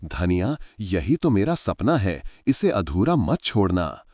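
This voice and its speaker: A man voicing a poor aging farmer, gentle and soft-spoken. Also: low-pitched, big pitch swings, slow, dry recording, studio quality, full character voice. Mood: resigned. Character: poor aging male farmer, patient and resigned.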